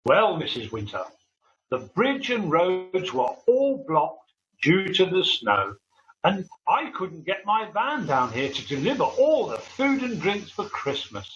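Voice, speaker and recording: An older, jolly delivery man from a children's storybook, acted out by a reader, chatty and earnest. The voice is bright and moves up and down a lot. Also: medium pitch, very animated, a little slow, dull audio. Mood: earnest, grateful.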